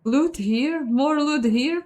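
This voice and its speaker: A woman's voice: high voice, slow pace, a little mellow. A woman giving casual, chatty let's play commentary, thinking aloud in a self-deprecating way. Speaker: host of a game playthrough, voicing the dialogue. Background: game music.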